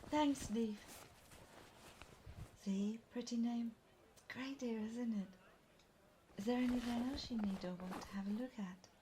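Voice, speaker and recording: A woman playing a cheerful, gentle guide from a fantasy world in an immersive audio roleplay, speaking softly and eagerly to a companion. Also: a little high, steady tone, a little slow, long pauses, a little hiss or hum, natural voice, low-quality recording. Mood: friendly, helpful.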